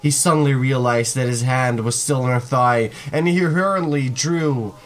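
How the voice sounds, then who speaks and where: A man hosting a gaming video, reading a visual novel aloud in a theatrical, expressive way. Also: medium pitch, normal speed.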